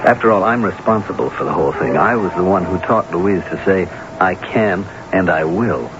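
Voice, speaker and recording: An actor playing a scheming, smooth-talking husband with an uneasy conscience in a radio drama. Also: male voice, deep voice, moderate pace, close to an everyday voice. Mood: rueful. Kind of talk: radio drama acting and first-person narration. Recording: dull, noisy old radio broadcast.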